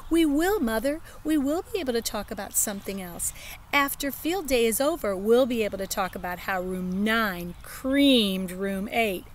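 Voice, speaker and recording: A woman voicing an excitable, bossy little kindergarten girl in a children's storybook read-aloud. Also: a little high, normal speed, slight background noise, close to an everyday voice. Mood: eager. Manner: loud, eager, pleading.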